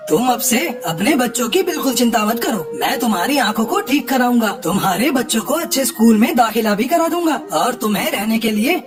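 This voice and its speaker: A woman's voice: a little high, animated, a little fast, hardly any pauses, music in the background, lightly acted. A woman playing a generous rich merchant in a children's bird fable, benevolent and emphatic. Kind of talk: children's cartoon story dialogue.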